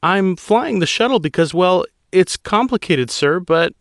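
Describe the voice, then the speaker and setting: A man voicing a nervous young woman shuttle pilot in a raised, strained falsetto. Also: expressive, measured pace, dry recording, studio quality. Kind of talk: audiobook character voice.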